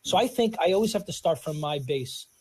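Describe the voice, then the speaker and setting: A man's voice: on the high side, expressive, brisk, husky. A man with a New York accent, hosting a podcast in a chatty way with many restarts. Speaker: podcast host. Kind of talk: casual podcast conversation.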